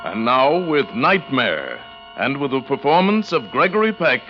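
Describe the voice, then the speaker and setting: A man announcing a programme in a portentous, deliberate, dramatic 1940s radio style. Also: mid-range voice, very animated. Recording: muffled vintage radio with music.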